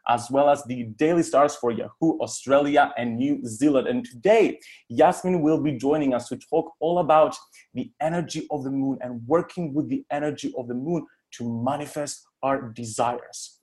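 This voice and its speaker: A man's voice: medium pitch, a little mellow. A man hosting a podcast, speaking articulately and addressing listeners directly as he introduces and runs an interview.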